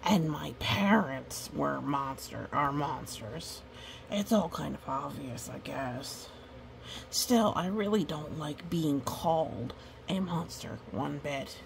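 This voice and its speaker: Woman reading as a slow, grumpy, put-upon monster. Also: low-pitched, creaky, a little hiss or hum, exaggerated voice. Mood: resigned.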